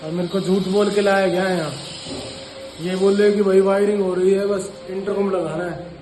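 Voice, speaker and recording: Higher-pitched man vlogging over clear background noise. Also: steady tone, normal speed, a little mellow. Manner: informal, chatty, explaining as he works. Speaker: vlogger and CCTV installer. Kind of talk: casual vlog talk addressed to viewers. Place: on location at work sites. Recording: clearly noisy location sound, traffic.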